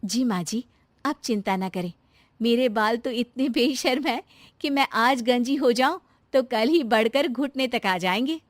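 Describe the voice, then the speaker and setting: A woman voicing an eager, boastful young daughter-in-law in an animated story, deferential and exclaiming. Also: on the high side, unhurried, dry recording. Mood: reassuring.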